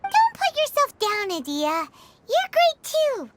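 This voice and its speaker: Extremely high, chirpy little game companion. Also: female voice, wildly swooping pitch, slow, slight background noise, heavily acted. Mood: encouraging. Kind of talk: video game cutscene voice acting.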